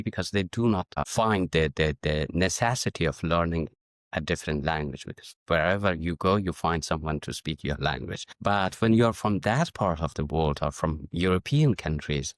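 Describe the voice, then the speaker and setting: A middle-aged man from Afghanistan whose first language is Farsi, answering interview questions in a measured, reflective way and often restarting his sentences. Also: low voice, expressive, dry recording, studio quality. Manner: measured, searching for words with frequent restarts. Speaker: guest, diplomat and educator.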